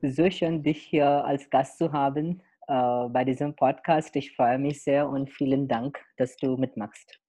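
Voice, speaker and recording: A young man hosting a podcast, opening with a soft spoken introduction and then chatting with many fillers. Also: medium pitch, steady tone, low-quality recording. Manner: soft, slow intro over music, then chatty and halting. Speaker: podcast host. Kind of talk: podcast interview with narrated intro.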